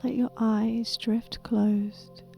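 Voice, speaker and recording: A woman with a soft, breathy, whispery voice gently and soothingly guiding a meditation in a deliberate manner. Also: a little high, flat delivery, highs cut off, soft background music. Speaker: meditation guide. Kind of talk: guided meditation, soft half-whispered narration.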